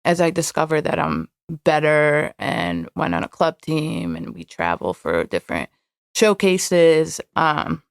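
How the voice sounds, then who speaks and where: Soft-spoken woman with a gravelly edge. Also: sits low, very animated, unhurried, dry recording, clean studio sound. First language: Hebrew. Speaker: podcast guest. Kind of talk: candid personal interview.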